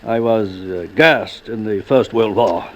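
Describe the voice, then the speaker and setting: A man's voice: on the low side, a little slow, close to an everyday voice. A man playing a modest, ailing elderly psychic investigator who speaks politely, hesitantly and precisely in a 1940s radio drama. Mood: frail, apologetic. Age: older. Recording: muffled, noisy old radio recording.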